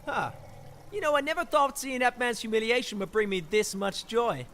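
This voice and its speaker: A man voicing a cocky, excitable young street hooligan who talks in slang for an audiobook. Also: extremely high pitch, normal speed, slight background noise, voice pushed up. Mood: amused. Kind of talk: audiobook character voice.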